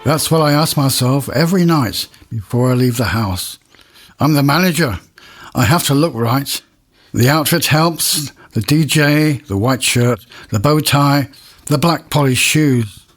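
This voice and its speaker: A man playing a proud, wistful cinema manager in an audio monologue, confiding and reflective, with a husky voice. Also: mid-range voice, unhurried, close to an everyday voice.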